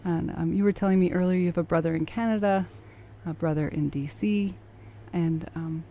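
A woman's voice: on the low side, moderate pace, muffled recording. A woman hosting an interview program, introducing and questioning her guest. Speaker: radio interview host.

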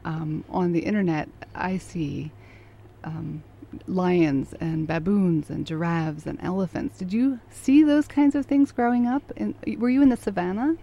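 A woman's voice: fairly low pitch, measured pace, mellow, dull audio. A woman hosting an interview program, introducing and questioning her guest. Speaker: radio interview host.

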